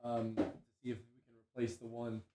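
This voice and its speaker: A hoarse man, a musician guest on a radio show, chatting casually in a rambling way with many fillers. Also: mid-range voice, monotone, moderate pace, crowd noise. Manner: rambling, filler-heavy, restarts.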